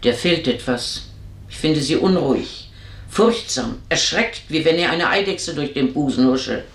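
An actress playing an outspoken, knowing maidservant in a radio drama, blunt and familiar. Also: female voice, sits low, lively intonation, a little slow. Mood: knowing, wry. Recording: echoing room sound.

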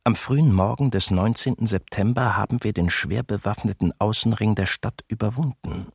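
An actor playing a German soldier recounting the capture of a city in a documentary radio drama. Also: male voice, on the low side, normal speed, husky, no echo, clean studio sound. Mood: sober report.

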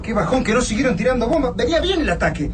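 A man playing an excitable young survivor of an alien invasion in a radio drama, urgent and colloquial, with an Argentine accent, in a distant, noisy scene. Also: very high-pitched, very fast. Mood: frustrated, resigned.

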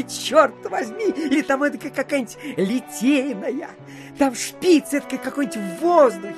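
A garrulous small-town postmaster in an audio drama. He tells his tale pompously, padding it with filler phrases and dramatic pauses. His pitch swings widely, and music plays softly behind him. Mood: marvelling, excited. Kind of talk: theatrical storytelling in an audio play.

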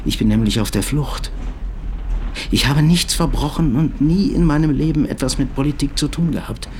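Actor as weary, bitter fugitive, train noise behind. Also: male voice, a little high, moderate pace, a little mellow, natural voice. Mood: agitated, weary. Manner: formal, dry, apologetic. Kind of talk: German radio play dialogue.

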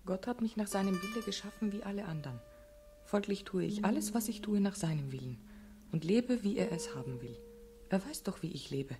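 A woman's voice: mid-range voice, lively intonation, fairly quick, music in the background, natural voice. An actress playing a proud, disdainful young woman with a cool, forthright manner in a radio play. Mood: calm, defiant. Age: young adult. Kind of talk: German radio play acting.

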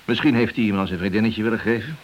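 An actor playing a brusque, hard-nosed police detective in a radio drama, with a blunt manner. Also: male voice, fairly low pitch, quick pace, lightly acted. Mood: matter-of-fact. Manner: blunt, needling. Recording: dull, noisy old radio play recording.

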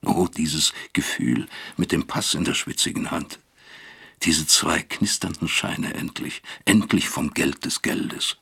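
A bitter East German professor looks back on his guilt in a radio play. His voice is extremely low and husky. He speaks deliberately, as if accusing himself. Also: sharp tone, full character voice. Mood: brooding, self-accusing. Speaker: first-person narrator of a radio drama. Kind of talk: confessional radio drama monologue.

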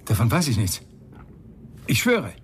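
A man's voice: medium pitch, wildly swooping pitch, a little slow, slight background noise. A man playing a tense, curt father of a kidnapped boy in a German radio crime drama. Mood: anxious. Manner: curt, clipped, wary.

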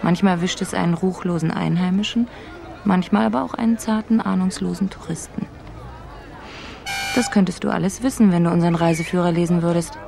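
A woman's voice: on the low side, steady tone, brisk, creaky, a crowd in the background, background noise. An actress playing a wry, curious woman traveller in a radio drama, with a dry, teasing manner. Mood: teasing, storytelling.

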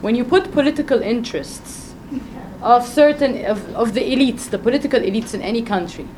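Woman, panel speaker, loud voice, higher pitch, emphatic, persuasive, faint ambient noise. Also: brisk. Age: adult with a doctorate. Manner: emphatic, persuasive, addresses the audience directly. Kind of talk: conference panel talk.

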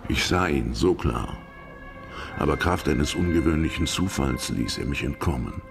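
A world-weary lover in a radio play, resigned to death. His voice sits extremely low and crackles with gravel, brooding and deliberate over music. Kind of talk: German radio drama acting.

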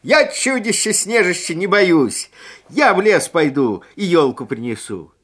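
A man's voice: sits high, sing-song, measured pace, a bit bright, voice pushed up, exaggerated voice. A man voicing a big, brave schoolboy in a children's fairy tale, speaking earnestly and emphatically. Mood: bold.

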